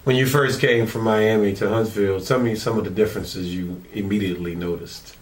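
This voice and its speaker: Halting male interviewer, lowish voice. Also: steady tone. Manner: halting, many "uh" and "um". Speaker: interviewer, show host. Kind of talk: interview questions.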